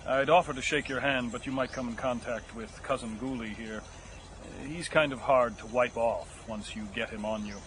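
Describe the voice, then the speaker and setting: A man's voice: medium pitch, steady tone, normal speed, a bit bright, natural voice. A man playing a wry, pedantic, bookish convict in an audio horror drama, speaking in formal phrases. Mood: dry politeness. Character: erudite, wry, quotation-loving convict called the Professor. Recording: music behind, vehicle and outdoor sound effects.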